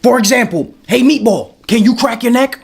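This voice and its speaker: A woman's voice: a little high, normal speed, creaky, darkish tone, faint music behind. A woman playing an exasperated parent in a comedic family skit, scolding dramatically. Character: exasperated, scolding parent arguing with a bratty kid.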